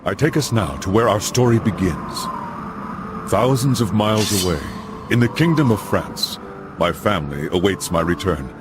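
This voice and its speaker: An actor playing a solemn middle-aged knight, a father narrating his family's saga in a grave, measured storyteller's manner for a game. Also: lowish voice, animated, over music, natural voice. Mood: solemn, brooding. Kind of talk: dramatic scripted narration.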